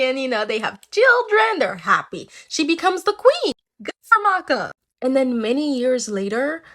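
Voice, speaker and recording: A woman's voice: a little high, fairly bright tone. A woman telling folktales in a casual, chatty way, tossing in joking asides to listeners. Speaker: storyteller.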